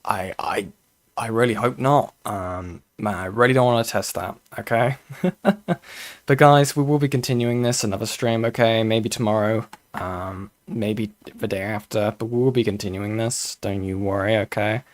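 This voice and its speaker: A hoarse male streamer who is losing his voice, wrapping up his stream in a chatty way and tacking "okay?" onto his sentences. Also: fairly low pitch, fairly even intonation, normal speed, dry recording. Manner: chatty, keeps adding "okay?". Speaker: streamer host. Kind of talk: casual stream sign-off.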